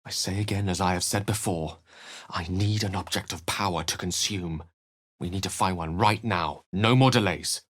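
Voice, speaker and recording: A man's voice: deep voice, normal speed, a bit bright, no room sound, clean studio sound. A man voicing an eloquent, courtly wizard companion in a fantasy video game, speaking formally and theatrically. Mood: urgent. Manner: articulate, formal, theatrical phrasing. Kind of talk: fantasy video game voice acting, dramatic narration and dialogue.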